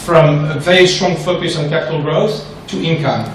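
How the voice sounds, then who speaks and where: A man with a French accent gives an animated investment seminar to an audience, often checking in with "right?". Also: fairly high pitch, moderate pace, a little hiss or hum. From France. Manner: animated, asks the audience questions, frequent "right?". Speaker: investment specialist presenter. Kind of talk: seminar presentation. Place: large echoey room with an audience. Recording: echoey room from far off.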